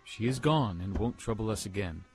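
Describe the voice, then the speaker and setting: Man acting a low-voiced, grave gothic hero. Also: lively intonation, moderate pace, a little mellow, rough recording. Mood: ardent, reassuring. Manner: formal, emphatic, declamatory. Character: grave, ardent bridegroom, assured gothic hero. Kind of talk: gothic audio drama acting.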